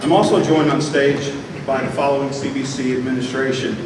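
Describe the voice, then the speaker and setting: Man, formal measured announcing, graduation podium, booming hall echo, loud background noise. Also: fairly even intonation. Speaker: college official presiding at commencement. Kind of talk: formal ceremony introductions. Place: stage of a large hall at a graduation ceremony. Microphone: podium microphone. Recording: echoey hall, distant, loud crowd noise.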